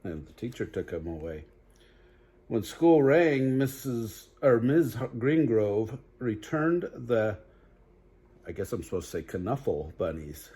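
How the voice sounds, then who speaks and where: An older man with a husky voice reads a picture book to children. He takes his time, at a slow pace, and breaks off for chatty asides and questions to the listener. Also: fairly low pitch, very animated, warm tone. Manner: unhurried, pausing to question the listener. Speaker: grandfather reading children's books aloud. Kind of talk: read-aloud storytelling with chatty asides to young listeners.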